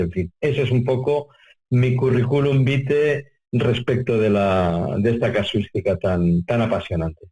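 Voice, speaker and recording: Low-voiced older man being interviewed. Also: darkish tone, husky, rough recording. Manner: wordy, repeating and rephrasing as he goes. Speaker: interview guest, UFO contactee researcher. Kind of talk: reflective conversational interview answers.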